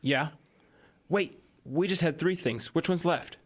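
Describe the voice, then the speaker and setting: Higher-voiced earnest teenage boy man acting. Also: measured pace. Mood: thoughtful. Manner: earnest, questioning. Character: earnest, curious teenage schoolboy amateur sleuth. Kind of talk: audio drama acting.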